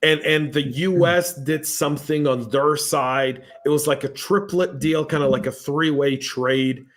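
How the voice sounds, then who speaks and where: A man hosting a podcast panel, telling lively stories and reading out viewer comments in a casual, chatty way. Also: a little high, unhurried. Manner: animated storyteller, acts out exchanges. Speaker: podcast host.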